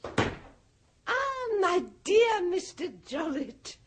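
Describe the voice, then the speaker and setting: Timid flustered lady assistant, radio play, very high voice, wildly swooping, stammering. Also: big pitch swings, extremely slow, noisy background, heavily acted. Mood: fluttery welcome. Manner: hesitant, stammering. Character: flustered, timid, fluttery genteel female medium's assistant. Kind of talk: British radio comedy-mystery acting.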